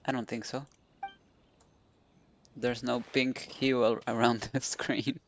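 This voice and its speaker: A woman streaming a video game, giving casual, low-key commentary and reactions. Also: level tone, slow, lo-fi sound, a little hiss or hum.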